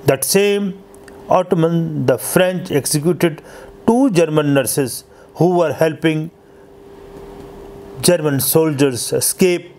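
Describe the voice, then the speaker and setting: A man reading a scripted history narration as host, in a halting, stumbling way. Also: fairly high pitch, a little mellow, slight background noise.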